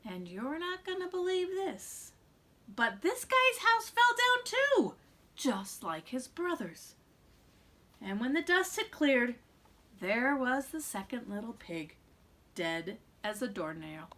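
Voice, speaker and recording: A woman's voice: high-pitched, very animated, slow pace, pushed and bright, heavily acted. A woman voicing a male storybook wolf who tells his side in a folksy, self-justifying way, with theatrical emphasis and chatty asides. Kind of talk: animated storybook read-aloud for children.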